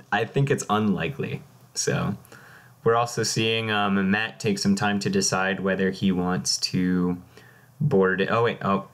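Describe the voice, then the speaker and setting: A man doing casual live play-by-play commentary on a card game match. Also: on the low side.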